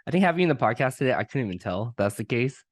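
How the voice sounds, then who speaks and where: A man's voice: mid-range voice, very animated, very fast, no room sound, studio quality. A man hosting a podcast, asking questions casually with a lot of "like" fillers. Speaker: podcast host.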